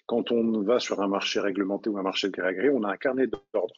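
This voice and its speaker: A man hosting an interview, explaining for listeners in a halting, pedagogical way with examples. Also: on the low side, highs cut off. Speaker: interviewer, host.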